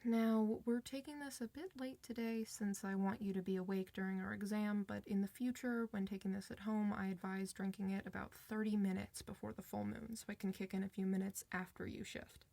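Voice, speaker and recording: A woman's voice: medium pitch, fairly even intonation, vocal fry, warm tone, no echo. A woman playing a friendly, reassuring doctor for werewolves in an informal, one-sided ASMR roleplay. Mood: calm, reassuring. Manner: reassuring, informal, hesitant pauses.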